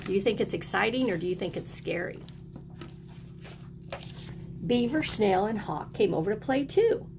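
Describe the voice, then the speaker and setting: A woman's voice: mid-range voice, animated, moderate pace, creaky, long pauses, noisy background. A woman teacher leads a read-aloud lesson for young children, encouraging them and prompting them with questions and repeats.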